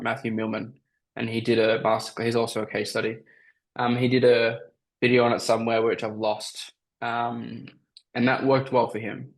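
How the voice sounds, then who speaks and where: Raspy-voiced man coaching on a call. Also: mid-range voice, poor audio. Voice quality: raspy from talking all day. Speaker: sales coach. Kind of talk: coaching call, answering questions.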